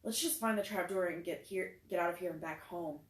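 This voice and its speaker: A woman's voice: lowish voice, brisk, lightly acted. A woman voicing a wisecracking, sarcastic teenage boy. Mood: gloomy. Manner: mocking, sarcastic. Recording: roomy echo, faint noise.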